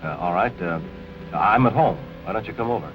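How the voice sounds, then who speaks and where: An actor playing a guarded, obsessive murderous suitor in 1940s film noir style. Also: male voice, on the low side, animated, brisk, lightly acted. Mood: guarded, hesitant. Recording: dull vintage film soundtrack, loud music.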